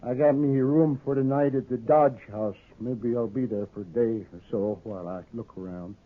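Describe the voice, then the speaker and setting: An actor playing a weary, grimly determined old American farmer in a 1950s radio western, speaking plainly and haltingly. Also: male voice, medium pitch, steady tone, natural voice. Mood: sorrowful, weary. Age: older. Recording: muffled, narrow-band old radio recording.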